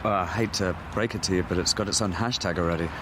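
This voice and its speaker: A British male scientist played by an actor in an audio drama, low in pitch. He is wry and excitable, talking naturally over very loud outdoor vehicle noise. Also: quick pace, close to an everyday voice. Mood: dry, curious.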